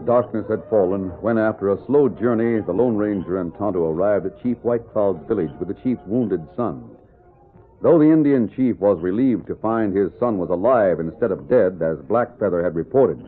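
An actor narrating an old-time radio western in a dramatic, scripted storytelling style. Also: male voice, fairly low pitch, expressive, normal speed, narrow, tinny audio, natural voice. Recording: band-limited vintage radio recording.

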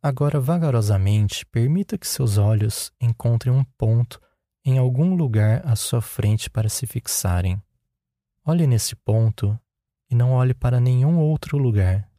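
A man with a Brazilian Portuguese accent leading a guided meditation in a calm, unhurried, instructional way. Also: mid-range voice, no room sound, clean studio sound.

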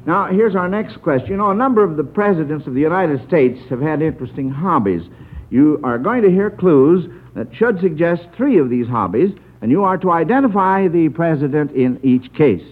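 A man's voice: on the high side, expressive, normal speed, narrow, tinny audio. A man hosting an old-time radio quiz show in a jovial, folksy, chatty manner. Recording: band-limited old radio broadcast.

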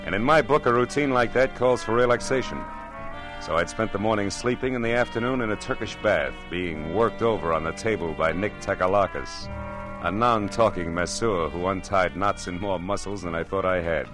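An actor playing a wry, world-weary private detective in punchy 1940s radio noir style. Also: male voice, deep voice, moderate pace, music in the background, lightly acted. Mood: wry, brooding.

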